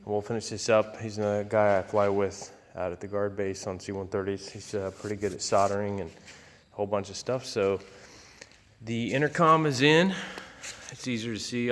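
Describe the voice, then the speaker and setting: A man giving a casual, unscripted walkthrough as an aircraft owner, rambling and halting in a folksy way. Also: lowish voice, fairly bright tone. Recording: long hall-like reverb.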